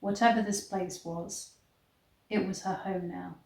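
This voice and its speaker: A woman's voice: lowish voice, steady tone, a little slow, creaky, a little mellow, a little hiss or hum. A woman reading aloud and commenting as a casual vlog host, with a wry, sarcastic manner. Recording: echoey room.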